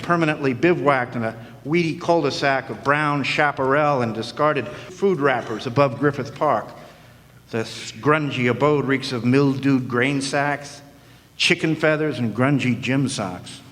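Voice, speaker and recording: A man's voice: mid-range voice, a little slow, fairly bright tone. Brief: a sardonic man with a mid-range voice, narrating noir in an echoing hall. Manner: wry, sardonic. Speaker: narrator, hard-boiled detective. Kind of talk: 1940s noir detective narration in a live radio play. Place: historic club hall, live audience performance. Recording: long echoing hall reverb.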